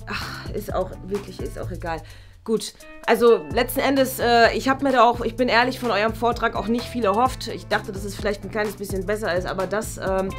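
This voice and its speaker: A woman's voice: on the high side, a bit bright, lightly acted. Sketch teacher, played by a young woman: condescending, rambling, light music bed. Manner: condescending, sighing, rambling with fillers. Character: stern adult female teacher grading pupils. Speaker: actor in a classroom sketch series.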